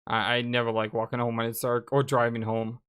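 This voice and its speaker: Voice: mid-range voice, little pitch movement, fairly quick, fairly bright tone, clean tone. A let's play host giving casual, chatty gameplay commentary and reading game text aloud, reacting as they go. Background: video game music.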